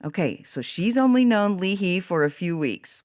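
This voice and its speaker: A woman hosting a podcast, discussing a book in a reflective, expressive and emphatic way. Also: on the low side, normal speed, no echo, clean studio sound. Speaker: podcast host.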